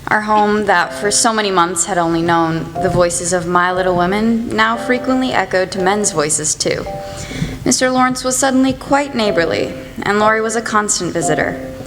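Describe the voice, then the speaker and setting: A young woman playing a gentle, wistful mother who narrates a radio play about her daughters. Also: mid-range voice, normal speed, lightly acted. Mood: wistful, fond. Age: teenager or young adult. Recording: echoey hall. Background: loud music.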